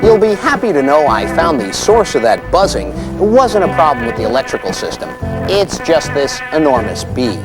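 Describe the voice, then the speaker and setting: Thrifty matter-of-fact handyman, sketch comedy, lively intonation over loud music. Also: a little high, normal speed, low-quality recording. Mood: dryly practical.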